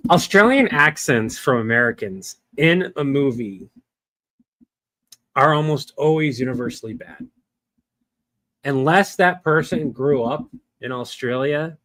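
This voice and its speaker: A man's voice: mid-range voice, fairly bright tone, no room sound, low-quality recording. A young man hosting a casual livestream, rambling to his chat with lots of fillers, from a small wood-paneled room on a desk webcam. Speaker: livestream host. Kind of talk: casual live-stream chat.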